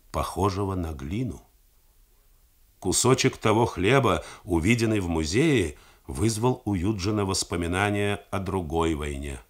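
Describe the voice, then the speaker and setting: A middle-aged man reading a novel aloud in a measured, theatrical 1980s radio studio style. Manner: measured, expressive theatrical delivery with wide pitch swings.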